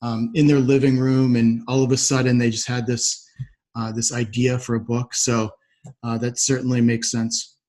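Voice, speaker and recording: A man's voice: medium pitch, flat delivery, moderate pace, a little mellow. A man hosting a podcast interview, speaking in an agreeable, reflective conversational way. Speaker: podcast host.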